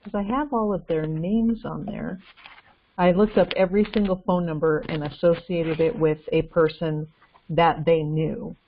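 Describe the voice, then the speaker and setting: Lowish-voiced woman on a lo-fi call. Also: fairly even intonation, normal speed. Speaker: podcast guest researcher. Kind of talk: casual investigative discussion. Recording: dull lo-fi call with faint noise, clicks.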